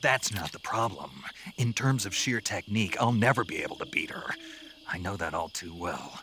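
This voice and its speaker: A man playing a tough, intense special-forces soldier with a gruff voice in a video game cutscene. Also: deep voice, animated, moderate pace, sharp tone, exaggerated voice. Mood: anguished. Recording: clear background noise, outdoor night insects.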